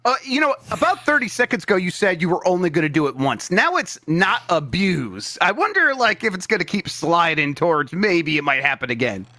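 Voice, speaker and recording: A man's voice: high-pitched, very animated, a little fast, few pauses, sharp tone, no room sound, clean studio sound. A man co-hosting a podcast, trading casual comedic banter in a wry, teasing manner. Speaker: podcast co-host.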